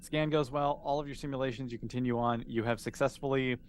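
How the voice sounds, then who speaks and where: A man acting as game master, improvising narration in a halting way as he thinks aloud. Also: mid-range voice, steady tone, clear, resonant tone.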